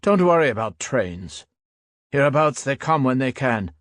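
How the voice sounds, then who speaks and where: A man reading an audiobook voices a weary fifty-year-old soldier who talks in a casual, mocking, unhurried way. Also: medium pitch, very animated, fairly bright tone, dry recording, studio quality, voice pushed up. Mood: offhand.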